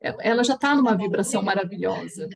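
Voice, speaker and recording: Husky Brazilian woman, chatty live talk. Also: medium pitch, quick pace. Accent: Brazilian Portuguese. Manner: warm, chatty, frequent restarts and "tá?" check-ins. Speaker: energy healing instructor. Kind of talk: live online talk to an audience.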